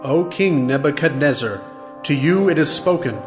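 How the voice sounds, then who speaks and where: An actor playing a stern divine voice from heaven, pronouncing judgment solemnly in a dramatized scripture production. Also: male voice, fairly high pitch, lively intonation, normal speed, soft background music, close to an everyday voice.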